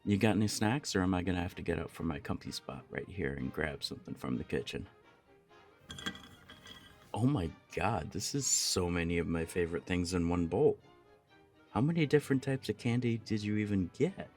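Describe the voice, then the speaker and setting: A man's voice: deep voice, lively intonation, normal speed, darkish tone, soft background music. A young man playing an easygoing, affectionate punk roommate in an intimate ASMR roleplay, chatting casually and teasingly. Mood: playful, amused. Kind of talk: intimate ASMR roleplay, one side of a conversation.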